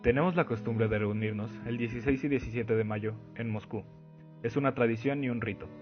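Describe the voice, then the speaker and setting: A man's voice: medium pitch, fairly quick, lightly acted. An actor playing a formal male war veteran reading out his letter in a radio drama. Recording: muffled lo-fi audio under music.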